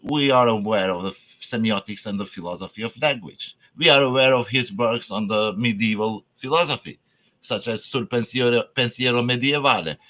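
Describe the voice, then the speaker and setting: A man's voice: mid-range voice, bright, forceful tone. A man whose first language is Albanian gives an academic lecture in English, hesitant and searching for words, with frequent fillers and restarts.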